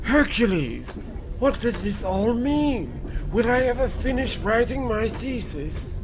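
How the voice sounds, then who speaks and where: An actor playing an earnest, easily shocked professor sidekick with proper, old-fashioned exclamations in a comic radio play. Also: male voice, very high-pitched, very animated, slow, noisy background, full character voice. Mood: aghast. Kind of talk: old-time radio comedy acting.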